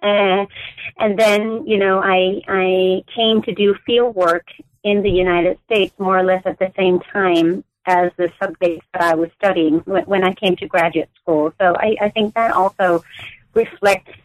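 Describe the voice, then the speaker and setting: A woman in her forties, raised in Denmark, speaking as an academic guest in an interview, choosing her words with many pauses and restarts. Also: medium pitch, steady tone, dry recording, rough recording. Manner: thoughtful, many fillers and restarts. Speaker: guest author, anthropologist.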